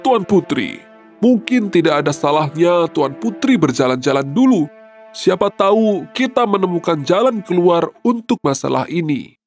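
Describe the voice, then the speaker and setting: A man's voice: sits high, very animated, normal speed, faint music behind. A man playing a loyal, deferential royal attendant in a children's folktale drama, speaking formally. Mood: gently advising.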